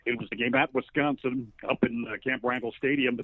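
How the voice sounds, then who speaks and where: A man's voice: mid-range voice, steady tone, fairly quick, no room sound. American man in his sixties on a phone line. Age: 60s. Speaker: radio play-by-play announcer as guest. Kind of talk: conversational interview. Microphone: telephone.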